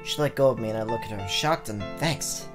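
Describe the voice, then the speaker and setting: A man's voice: lowish voice, fairly quick. A man hosting a let's play, reading game text aloud in a theatrical way with casual reactions. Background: game music.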